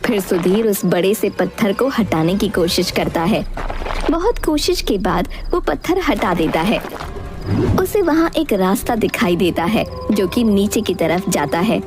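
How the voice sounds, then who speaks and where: A woman's voice: medium pitch, animated, a little slow, over music. A woman narrating a scripted story as its narrator, in the third person.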